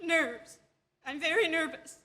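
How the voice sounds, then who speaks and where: An actress playing an anxious, demanding, self-pitying invalid wife in a theatrical radio drama, pleading and imperious. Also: female voice, sits high, slow pace, sharp tone, heavily acted. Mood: agitated, demanding.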